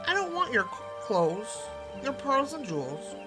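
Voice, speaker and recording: An insistent little storybook frog, acted out by a woman reader, pitched high and calling out. It pleads and demands like a creature set on being let in, with music clearly heard behind it. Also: big pitch swings, measured pace. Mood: bargaining. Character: pleading, insistent talking frog from a fairy tale.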